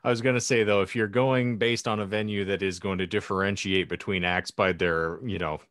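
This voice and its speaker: Low-voiced man, wordy podcast host. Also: fairly even intonation, a little fast, a bit bright, smooth, no room sound, clean studio sound. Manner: wordy, ornate phrasing. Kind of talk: panel podcast chat with anecdotes.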